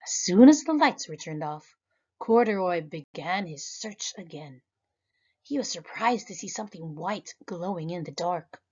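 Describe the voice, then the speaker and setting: Animated woman reading a storybook. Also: unhurried, slightly rough, lo-fi sound. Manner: animated, high voices for characters. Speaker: preschool teacher reading aloud. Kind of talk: children's storybook read-aloud.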